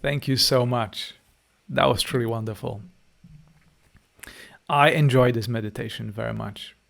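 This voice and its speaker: A man's voice: medium pitch, steady tone, measured pace, creaky, fairly bright tone. A man with a Polish accent, a meditation teacher leading a guided meditation and a live-stream chat, speaking to listeners in short, direct phrases.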